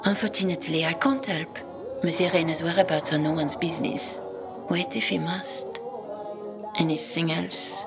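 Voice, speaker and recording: An actress playing a prim, haughty secretary. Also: female voice, lowish voice, fairly even intonation, normal speed, creaky, over loud music.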